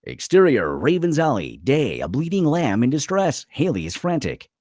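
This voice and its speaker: A man's voice: medium pitch, wildly swooping pitch, moderate pace, darkish tone, dry recording, clean studio sound. A man narrating screenplay stage directions dramatically at a table read.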